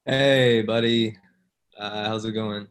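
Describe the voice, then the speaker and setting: A laid-back young guy, played by a man, with an even, unvarying tone. He talks casually, smirking, in a comedy table read on a video call. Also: on the low side, takes it slowly, clear, resonant tone. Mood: apologetic, casual. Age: young adult.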